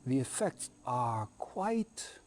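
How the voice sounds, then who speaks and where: An actor playing a cruel male interrogator in a game cutscene, speaking softly and menacingly, almost whispering. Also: medium pitch, big pitch swings, very slow, slight background noise. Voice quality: soft-spoken, whispery, sinister.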